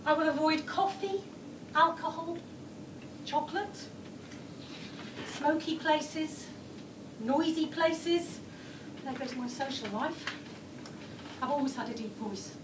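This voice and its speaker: A woman performer telling her own story on stage in the present tense, often dropping to a low, hushed delivery. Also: takes it slowly, pauses often. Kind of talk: first-person theatrical storytelling. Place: theatre stage. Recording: distant, echoey room with background noise.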